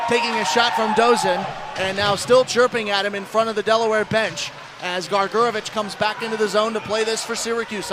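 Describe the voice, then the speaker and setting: High-pitched male hockey play-by-play announcer. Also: noisy background. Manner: flowing, descriptive calls that rise to shouts on big plays. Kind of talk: live ice hockey radio play-by-play commentary.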